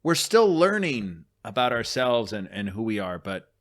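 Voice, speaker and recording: A man's voice: on the low side, big pitch swings, moderate pace, a bit bright. A man co-hosting a podcast in casual chat, joking and boastful at times, earnest and reflective at others. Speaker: podcast co-host.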